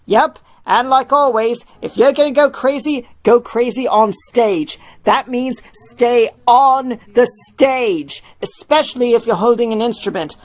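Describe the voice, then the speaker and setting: A woman's voice: on the high side, a little slow, hardly any pauses, voice pushed up, natural voice. Woman doing Tim, a bossy no-nonsense bandmate. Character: Tim, bossy no-nonsense male band member.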